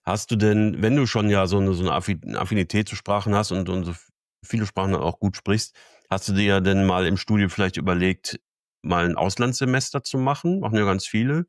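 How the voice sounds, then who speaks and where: A man's voice: sits low, brisk, dry recording, clean studio sound. A man hosting an interview, asking long questions with frequent restarts. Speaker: podcast host.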